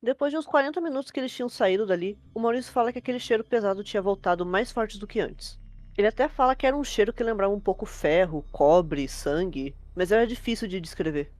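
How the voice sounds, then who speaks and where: Female Brazilian storyteller, medium pitch, brisk and fluent, informal creepypasta narration. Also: darkish tone. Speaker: host and storyteller.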